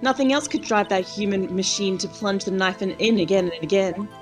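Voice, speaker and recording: A woman's voice: mid-range voice, fairly quick, faint music behind, natural voice. A woman playing a haughty, theatrical lunch lady witness who talks down to others in a courtroom game dub. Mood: smug, dramatic. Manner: condescending, storytelling. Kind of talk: live fan dub of a courtroom video game.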